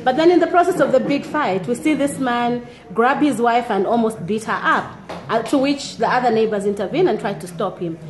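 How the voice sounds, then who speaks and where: A woman's voice: a little high, animated, fairly quick, a little mellow. Zambian woman telling a neighborhood story. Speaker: blogger and activist. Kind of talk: personal storytelling to camera. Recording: echoey room.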